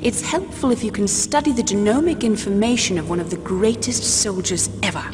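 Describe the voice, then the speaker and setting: An actress playing a cool, composed military scientist, explaining calmly and precisely in video game briefing dialogue. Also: female voice, mid-range voice, brisk, music in the background, natural voice. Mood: clinical. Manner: calm, precise, didactic. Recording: long reverb, noisy vehicle-like ambience.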